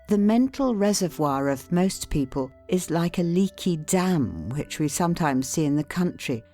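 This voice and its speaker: Low, mellow woman narrating. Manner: measured, formal, deliberate phrasing. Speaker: audiobook narrator. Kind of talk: scripted audiobook narration.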